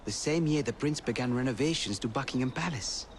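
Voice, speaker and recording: An actor playing an earnest, courteous Victorian gentleman ally in a video game cutscene. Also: mid-range voice, fairly quick, slight background noise, natural voice. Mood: informative, earnest.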